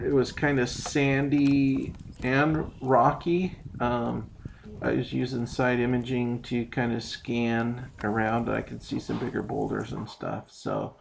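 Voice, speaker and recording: Gravelly man rambling on a boat. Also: medium pitch. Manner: relaxed, rambling, explains step by step with many ums. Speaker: fishing vlogger. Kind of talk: casual narrated fishing recap. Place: outdoors on a small boat on a lake. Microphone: boat-mounted action camera.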